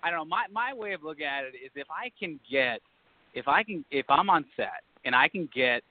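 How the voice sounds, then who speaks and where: A man, an actor calling in as a guest, talking about his role in an animated, self-mocking way. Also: a little high, a little fast. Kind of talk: casual call-in chat. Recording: tinny phone line, dry.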